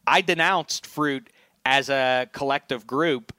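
Male podcast co-host, mock-grand banter, bright tone, dry booth. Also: medium pitch, slow pace, clean tone, no echo. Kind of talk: casual chat.

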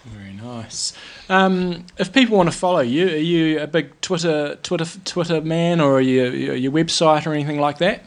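A man's voice: on the high side, moderate pace, few pauses. Friendly New male interviewer. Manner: friendly, encouraging, laughs along. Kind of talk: casual sports interview.

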